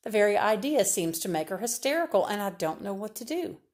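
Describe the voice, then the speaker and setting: A woman's voice: medium pitch, sing-song, fairly quick, darkish tone, close to an everyday voice. A woman reader voicing a harried mother at her wit's end, straining to sound polite. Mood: exasperated. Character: harried, exasperated young mother.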